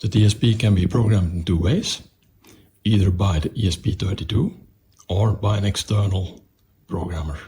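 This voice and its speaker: A man presenting a technical explanation of an electronics project in a halting, methodical, step-by-step way. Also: deep voice, dark tone, slight background noise.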